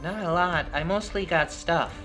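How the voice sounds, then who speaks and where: Man as a high-pitched, frightened, hesitant little boy. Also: normal speed, bright, forceful tone, faint music behind, rough recording. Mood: incredulous. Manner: stammering, pleading, incredulous. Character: frightened, innocent young boy, hesitant and questioning. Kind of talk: horror storytelling character voice.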